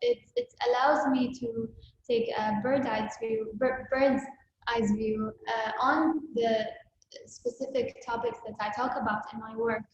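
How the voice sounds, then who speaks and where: A woman's voice: on the high side, steady tone, measured pace. A young woman giving thoughtful, somewhat hesitant answers as a guest artist in a conversational online talk. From born in Ukraine, with a Kuwaiti side. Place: indoor room, on a virtual talk. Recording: boxy echoing room, muffled online call, faint noise.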